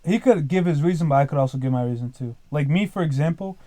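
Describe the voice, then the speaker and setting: Young man of twenty, podcast guest, mellow tone, dry close sound, loose rambling delivery full of "like" and "dude". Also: a little high, quick pace, dry recording. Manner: rambling, leans heavily on "like" and "dude". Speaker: podcast guest, business owner. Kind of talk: casual podcast chat.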